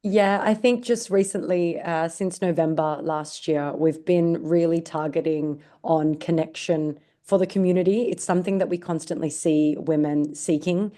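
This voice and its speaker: Low-voiced Australian woman guest. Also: normal speed, few pauses. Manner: relaxed, conversational, filler uh. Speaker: guest, marketing director. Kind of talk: podcast interview.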